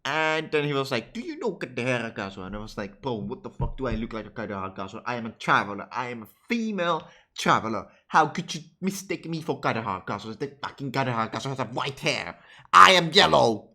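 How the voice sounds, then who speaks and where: A man's voice: mid-range voice, big pitch swings, quick pace, bright, forceful tone. A man giving casual, rambling game playthrough commentary, with plenty of swearing and irreverence. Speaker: streamer, content creator.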